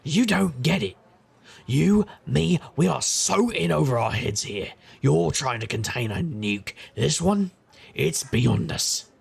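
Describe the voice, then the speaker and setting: Male, middle-aged private eye, acted: world-weary, wry, deep fry and gravel, audio drama noir. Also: lively intonation, takes it slowly, exaggerated voice. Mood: agitated, urgent. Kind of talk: audio drama narration and dialogue.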